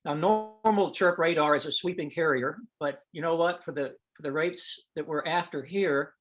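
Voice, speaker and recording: A man with a somewhat high-pitched voice presents technical material on an online teleconference. His delivery is informal and explanatory, like someone talking colleagues through slides. At times he thinks aloud as he goes. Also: a bit bright. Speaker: presenter at an amateur radio science teleconference.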